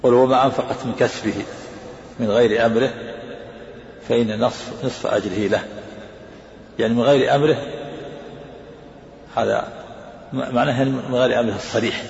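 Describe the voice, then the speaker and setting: A man teaching as a scholar, explaining texts in a rapid lecture and repeating his points. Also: mid-range voice, fairly even intonation, vocal fry, a little mellow, slight background noise. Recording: echoey hall, dull lo-fi sound.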